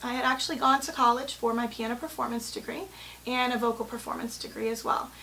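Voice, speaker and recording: A woman in her thirties speaks in a fairly high voice, telling her own story in an earnest, articulate way. She sounds like a teacher presenting a personal profile. A faint hiss sits behind her voice. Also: little pitch movement, fast talker, vocal fry, pushed and bright, rough recording. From born in London, grew up in the Bahamas and England. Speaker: music teacher and company president. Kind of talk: spoken personal profile to camera.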